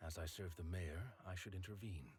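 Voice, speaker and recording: An actor playing a stern, dutiful aide in a video game, speaking in a formal, measured way. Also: male voice, sits low, darkish tone, faint music behind, natural voice. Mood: stern, resolute. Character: stern, dutiful male aide serving a mayor.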